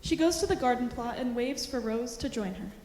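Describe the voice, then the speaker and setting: A woman's voice: on the high side, animated, a little fast. A woman reading scripted stage directions as narration in a theater. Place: high school theater. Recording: echoey hall, loud piano music behind.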